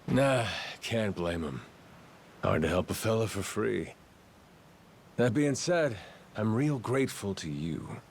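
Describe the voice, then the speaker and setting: An actor playing a gruff, good-natured amnesiac yakuza who talks in rough slang, in an English video game dub. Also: male voice, lowish voice, big pitch swings, slow, long pauses, a little hiss or hum, heavily acted. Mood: wry, grateful. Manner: rough colloquial slang with swearing.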